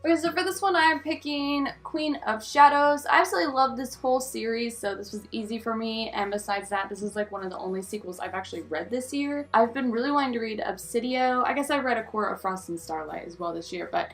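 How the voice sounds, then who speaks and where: A woman's voice: fairly high pitch, a little fast, hardly any pauses, fairly bright tone. Chatty woman vlogger over background music. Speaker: book vlogger, host. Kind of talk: casual chatty video talk to viewers.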